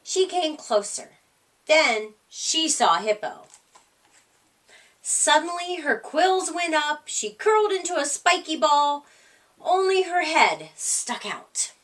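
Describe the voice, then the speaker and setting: A woman reading a children's story aloud in an expressive, deliberate storytelling manner. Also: high-pitched, far from the mic. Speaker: storytime reader.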